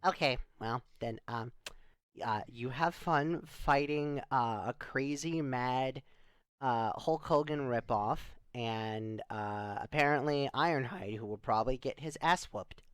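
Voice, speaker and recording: A man playing a skeptical, dry-humored spaceship crewmate in improvised, conversational sci-fi roleplay, full of deadpan questions. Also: medium pitch, a little slow, clean tone, dry recording, natural voice. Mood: dry amusement.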